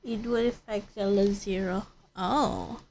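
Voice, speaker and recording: A woman's voice: mid-range voice, fairly even intonation, slow pace, darkish tone, slight background noise. A woman livestreaming a video game, chatting casually and thinking aloud in rambling, repeated phrases. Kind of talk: casual livestream chat while gaming.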